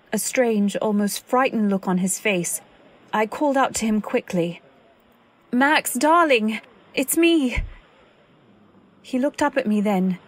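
A woman playing an anxious, earnest wife, narrating a radio drama in a literary, deliberate way. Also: fairly high pitch. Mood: pleading. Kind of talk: dramatic scripted narration, old-time radio.

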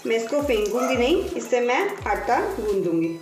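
A woman's voice: on the high side, lively intonation, a little mellow. A woman hosting a cooking channel, giving patient step-by-step kitchen instructions and repeating each step. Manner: unhurried, repeats steps patiently. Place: home kitchen. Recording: roomy, distant sound with faint music.

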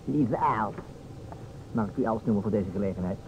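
A man's voice: fairly low pitch, moderate pace, lightly acted. Man acting wry police inspector, muffled old radio. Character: bachelor police inspector, sceptical and playfully argumentative. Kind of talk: Dutch radio play acting. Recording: dull old radio recording, faint noise.

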